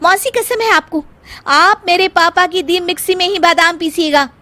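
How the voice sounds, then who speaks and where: A feisty young daughter-in-law acted by a woman in a moral story. Her voice is very high and sharp, with a whiny, sarcastic edge as she complains. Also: moderate pace. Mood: whiny, indignant.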